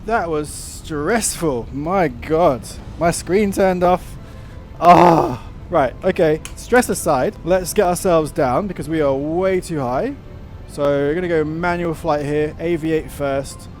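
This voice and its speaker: A man's voice: fairly high pitch, slow, a little mellow, faint music behind. A man giving casual, enthusiastic commentary while playing a flight simulator. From outside the United States. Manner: chatty, enthusiastic. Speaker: flight sim content creator.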